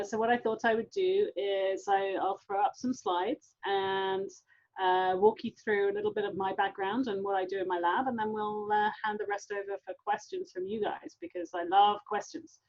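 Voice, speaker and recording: A woman's voice: medium pitch, a little mellow, dull audio. A middle-aged woman with a London English accent, giving an informal, enthusiastic science talk. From London, England. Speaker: guest scientist, university professor. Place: online video call.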